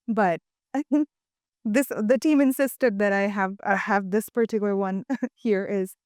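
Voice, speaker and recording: A young woman interviewing a seated panel as host, phrasing her questions a little haltingly. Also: medium pitch, fairly even intonation, a little mellow, dry recording, clean studio sound. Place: indoor studio, seated panel on sofas.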